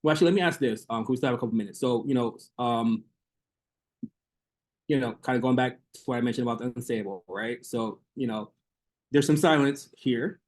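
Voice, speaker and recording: A man's voice: mid-range voice, brisk, darkish tone. A man presenting research in an academic Q&A on a video call, talking hesitantly with many fillers and restarts.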